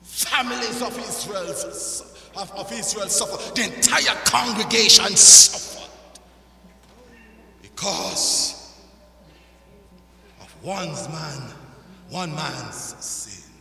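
Male preacher, middle-aged, very piercing and intense delivery, deliberate pace, impassioned sermon, echoey sanctuary. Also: high voice, lively intonation, vocal fry, pushed and bright. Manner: emphatic, dramatic pauses and repetition. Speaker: pastor preaching. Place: large church sanctuary, indoors. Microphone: pulpit microphone on a stand. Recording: echoey church hall, long reverb.

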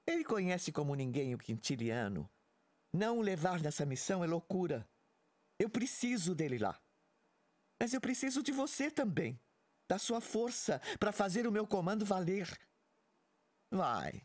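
Female military commander, authoritative, persuasive, lively intonation, audio drama. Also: fairly low pitch, normal speed, pauses often. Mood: firm, persuasive. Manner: authoritative, insistent.

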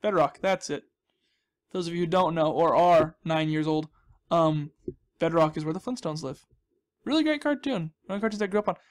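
Higher-voiced man chatting over gameplay. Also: normal speed. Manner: chatty, jokey, self-deprecating. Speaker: gaming video host. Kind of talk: casual rambling gameplay commentary.

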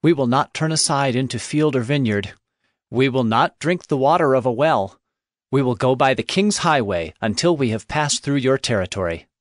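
Actor as formal ancient messenger, dry sound. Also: male voice, mid-range voice, animated, normal speed, dry recording, lightly acted. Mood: formal appeal. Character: measured formal ancient Israelite reciter and messenger. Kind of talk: dramatized scripture audio drama.

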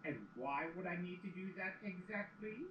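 A woman's voice: lowish voice, normal speed, dull audio, natural voice. A woman playing a suave, theatrical immortal male trickster creature in a fan cartoon audio drama. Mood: aggrieved, droll. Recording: roomy playback with noise.

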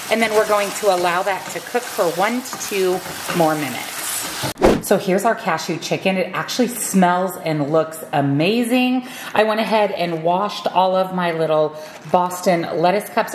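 A woman's voice: medium pitch, reverberant, very noisy. A woman giving upbeat step-by-step meal prep instructions in her home kitchen. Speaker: cooking vlogger.

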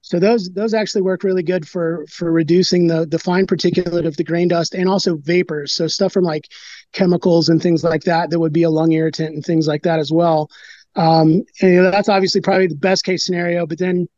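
Halting man on a dull video call. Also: on the high side, level tone, a little mellow, dry recording. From United States. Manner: halting, many fillers and restarts. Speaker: brewer, interview guest. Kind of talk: casual interview talk.

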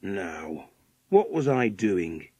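A mild, absent-minded storybook father, as a male narrator plays him, mutters vaguely and slowly. The voice is husky and dry, lower than the tale's other figures. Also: big pitch swings, no echo, exaggerated voice.

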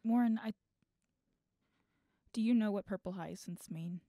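A woman plays a torn, guarded figure weighed down by regret in an audio drama. The delivery is halting and natural, at a medium pitch. The sound is dry and close. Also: dark tone, no echo, lightly acted. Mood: regretful. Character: guarded, regretful adult named Hugh, torn and bitter. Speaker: voice actor in student audio drama.

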